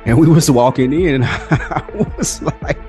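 A man's voice: a little high, unhurried, warm tone, faint music behind. A man co-hosting a podcast, telling stories and bantering in a casual, conversational way. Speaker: podcast co-host.

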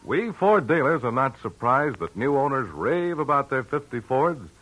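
A male announcer delivering a persuasive sales pitch in a 1950 radio commercial. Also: big pitch swings, moderate pace, a bit bright, rough recording. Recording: old radio broadcast.

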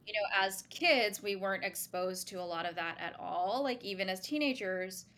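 A woman from Singapore, a guest on a podcast, talking in a relaxed, reflective way with many fillers. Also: medium pitch, normal speed. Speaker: guest, brand founder. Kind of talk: casual podcast interview.

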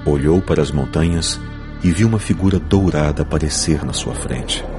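An extremely low-voiced man delivers dramatic scripted narration as an audiobook narrator. He is solemn and measured, with clear music playing behind him.

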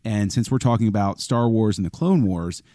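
Male podcast co-host, low and mellow, keen, friendly interviewer; dry sound. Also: moderate pace, dry recording. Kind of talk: casual interview talk.

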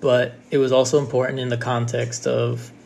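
A man guest telling his story casually, quick and emphatic, acting out quoted lines. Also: mid-range voice, completely flat delivery, vocal fry, a little mellow, slight background noise. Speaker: listener guest.

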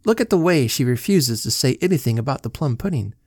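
A woman's voice: extremely low pitch, animated, quick pace, a little mellow, slightly rough, dry recording, clean studio sound, exaggerated voice. A man reading a story and voicing a confident, indignant young wife.

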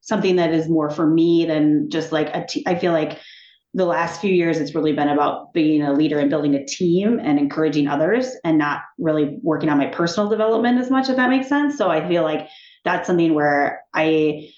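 A woman talking as a guest in a casual interview, animated and chatty, often laughing and restarting her sentences. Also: deep voice, a little fast. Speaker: TV executive, podcast guest. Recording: echoey room, dull sound.

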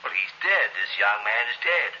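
A man's voice: medium pitch, lively intonation, normal speed, bright, forceful tone, slight background noise. An actor playing a matter-of-fact, dismissive male doctor in an old-time radio drama. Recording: muffled old radio recording.

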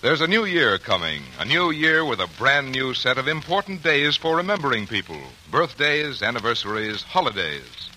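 A man announcing a radio program and its sponsor in a smooth, persuasive way. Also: medium pitch, big pitch swings, moderate pace, hardly any pauses, pushed and bright, a little hiss or hum, low-quality recording.

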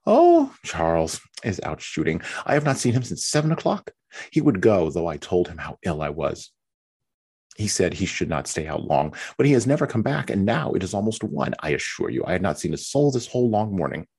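A man's voice: sits low, big pitch swings, brisk, a little mellow, husky, pitched above the performer's own voice, full character voice. A man putting on a whiny fretful young wife. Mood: complaining. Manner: whiny, complaining. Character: fretful self-pitying young married woman. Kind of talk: reading a novel aloud.

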